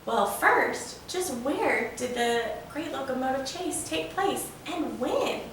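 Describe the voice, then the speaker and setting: A woman's voice: high-pitched, lively intonation, takes it slowly, a bit bright, exaggerated voice. A woman voicing a curious, admiring male listener who asks eager questions in a children's storybook. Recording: echoey room, distant mic, faint noise.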